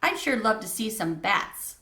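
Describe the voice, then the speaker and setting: An earnest young boy camper voiced by a woman talks like a little nature expert in a storybook. The recording has a clear room echo. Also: mid-range voice, animated, a little slow, bright, forceful tone.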